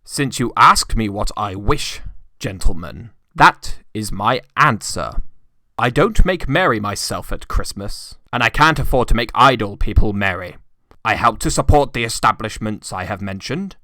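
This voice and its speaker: A man voicing a gruff, miserly old businessman with a curt, scornful, growling delivery in a storybook reading. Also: lowish voice, expressive, measured pace, sharp tone, dry recording, studio quality, full character voice. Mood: curt, callous. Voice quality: growling, muttering. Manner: curt, cold, dismissive.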